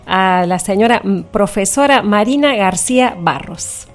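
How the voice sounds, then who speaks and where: A woman's voice: medium pitch, lively intonation, measured pace, faint music behind. A woman with an Argentine accent co-hosting a radio show with news reading and talk. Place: radio studio.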